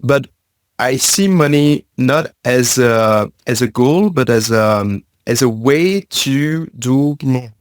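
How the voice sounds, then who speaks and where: A man from Belgium answering interview questions in a thoughtful, halting way, with frequent fillers and repeated words. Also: medium pitch, animated, dry recording, studio quality. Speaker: podcast guest, science communicator.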